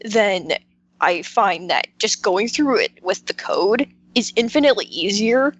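A woman's voice: medium pitch, animated, measured pace. A woman chatting casually as a podcast panelist, explaining things informally and often interrupting herself. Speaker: podcast roundtable panelist, visual novel maker. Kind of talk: casual chat.

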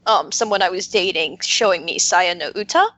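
A woman's voice: fairly low pitch, normal speed, bright, forceful tone. A woman chatting casually as a podcast panelist, telling things in a hesitant, filler-heavy way. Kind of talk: casual roundtable chat.

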